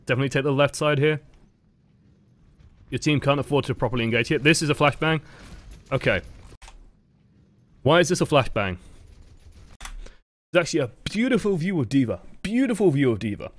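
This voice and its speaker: A man's voice: on the high side, animated, moderate pace, long pauses. A man with a dry voice, giving casual, explanatory coaching commentary over gameplay footage. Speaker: game coach.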